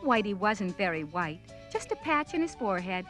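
A woman's voice: medium pitch, very animated, moderate pace, fairly bright tone, faint music behind. A woman narrating a scripted children's cartoon story.

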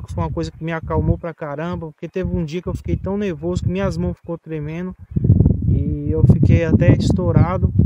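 A high-pitched male voice with a São Paulo accent, in his twenties. He talks like an amateur vlogger, loosely and reassuringly. The outdoor phone recording is swamped by loud motorcycle engine noise. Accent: São Paulo Brazilian. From São Paulo, Brazil. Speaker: vlogger, learner rider. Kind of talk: casual instructional chat. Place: outdoors on a rural dirt road.

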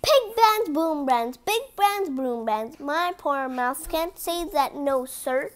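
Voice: sits high, expressive, slow. A reader voicing an exasperated male furry creature from a storybook, whiny and protesting. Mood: complaining.

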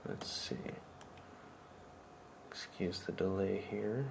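A man giving an informal, halting demonstration of an electronics project, thinking aloud as he goes. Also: low-pitched, gravelly, background noise. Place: indoors at a tabletop workbench. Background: music playing from small speakers.

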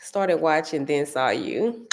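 A woman's voice: sits low, slow. Chatty woman with a St. Louis accent. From Saint Louis area. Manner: chatty, searches for words, animated. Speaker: vlogger answering viewer comments. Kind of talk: casual chat. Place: home dining room.

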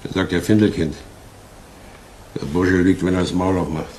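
An actor playing a no-nonsense police detective, terse and brusque, in a 1970s German crime radio play. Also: male voice, low-pitched, steady tone, moderate pace, a little mellow, close to an everyday voice. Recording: noisy vintage radio drama recording.

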